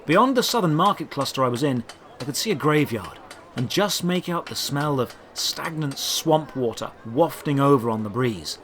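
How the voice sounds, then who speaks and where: A man's voice: medium pitch, expressive, normal speed, a bit bright, a little hiss or hum. A man narrating a scripted first-person adventure story, with outdoor ambience behind him. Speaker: narrator and creator of an audio drama.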